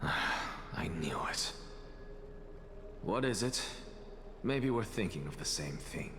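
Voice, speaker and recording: A man's voice: low voice, sing-song, takes it slowly, background noise, exaggerated voice. An actor playing a wry, philosophical man in a video game, teasing and musing as he speaks. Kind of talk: dramatic game voice acting. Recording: loud music behind the voice. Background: game background music.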